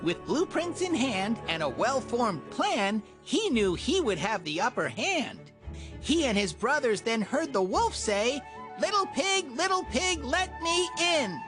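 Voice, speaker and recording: A woman narrates rhymes for a children's game, her voice lively and a touch high. She reads with a musical lilt. Music plays clearly underneath. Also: unhurried. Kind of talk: scripted rhyming storybook narration.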